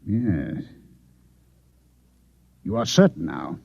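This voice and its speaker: A man playing a coolly menacing Martian infiltrator posing as a newspaper man in an old-time radio drama, measured, formal and insinuating. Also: deep voice, big pitch swings, gravelly, darkish tone, husky, exaggerated voice. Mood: cool menace. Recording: echoey, phone-like old radio drama recording.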